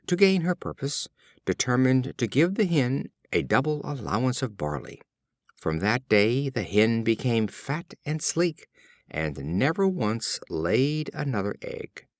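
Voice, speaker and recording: A man narrating short fables as an audiobook reader, in a measured, expressive storytelling style. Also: lowish voice, no room sound, studio quality.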